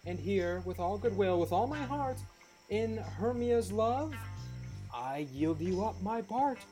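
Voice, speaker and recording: A woman's voice: medium pitch, animated, slow pace, over music, rough recording. A woman playing an earnest, lovestruck young male suitor in a Shakespearean verse radio play, pleading emphatically and theatrically. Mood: insistent, low.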